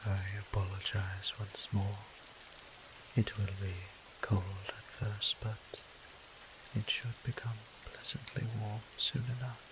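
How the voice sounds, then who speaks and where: A man acting as a courteous, teasing vampire priest in an intimate audio drama. His soft, breathy voice sits on the low side and moves very slowly, with little rise or fall. Rain and hiss sound clearly behind him. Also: little pitch movement. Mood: warm, reassuring. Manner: soft, breathy, courteous, old-fashioned phrasing. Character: gentle, teasing male vampire priest tending a stranger. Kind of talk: slow intimate audio roleplay, speaking to the listener.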